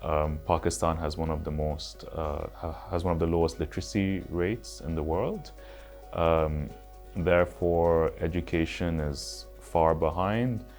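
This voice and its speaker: A man in his late twenties giving thoughtful, measured interview answers with some fillers. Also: rumbling low, fairly even intonation, a little mellow, soft background music. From Switzerland. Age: late 20s. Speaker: interview guest.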